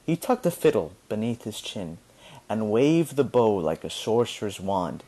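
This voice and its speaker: Animated man reading a story over music. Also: fairly low pitch, unhurried, low-quality recording. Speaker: storyteller reading a children's book aloud. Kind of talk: expressive read-aloud narration with music.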